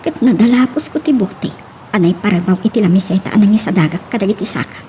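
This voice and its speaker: A woman's voice: medium pitch, fast, close to an everyday voice. A woman acting as the reflective first-person narrator of an Ilocano love-story drama. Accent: Ilocano Filipino. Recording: faint background noise.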